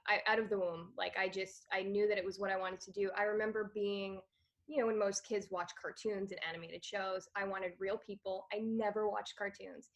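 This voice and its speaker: A woman's voice: medium pitch, a little fast. A woman in her thirties from Montreal, a guest actress talking casually in an interview and telling stories with frequent laughs. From Canada, Montreal. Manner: chatty, laughs often, animated storytelling.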